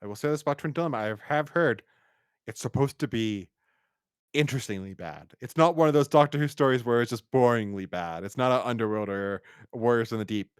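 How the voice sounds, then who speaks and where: A man's voice: mid-range voice, a little fast, smooth, no echo, clean studio sound. A man co-hosting a podcast, chatting casually and enthusiastically through a review in a rambling way. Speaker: podcast co-host.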